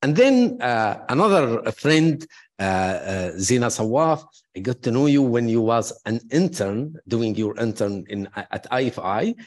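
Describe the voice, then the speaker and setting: A man introduces speakers at an academic gathering, pausing often on fillers and restarting his sentences. His voice sits at an ordinary middle pitch. He praises the speakers warmly and drops in personal asides. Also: very animated. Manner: halting, many fillers and restarts. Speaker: panel moderator introducing speakers.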